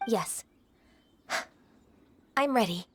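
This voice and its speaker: A woman voicing a gentle, dutiful young half-immortal in an English video game dub, polite, earnest and formal. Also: a little high, lively intonation, drawn-out words, slight background noise, full character voice. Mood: apologetic, hesitant.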